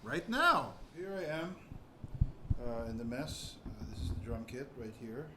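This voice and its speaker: A man's voice: mid-range voice, very animated, a little slow. A man talking casually as a musician hosting a vlog, rambling and often repeating or correcting himself. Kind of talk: casual storytelling and drum kit tour. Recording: clear background noise and room ambience.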